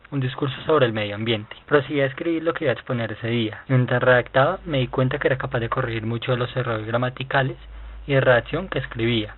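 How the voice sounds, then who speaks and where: A man reading a first-person account aloud for a student project, brisk and straight through. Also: mid-range voice. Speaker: student presenter. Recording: tinny phone-like recording with faint hiss.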